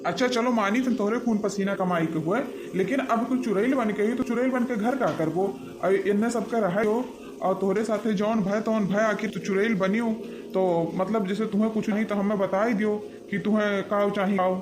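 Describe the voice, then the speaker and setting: A man's voice: high-pitched, fairly even intonation, moderate pace, noisy background, lightly acted. A man voicing a commanding village exorcist holy man in a cartoon comedy, who admonishes and reasons in repetitive phrases. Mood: reasoning. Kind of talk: animated comedy cartoon voice acting in dialect.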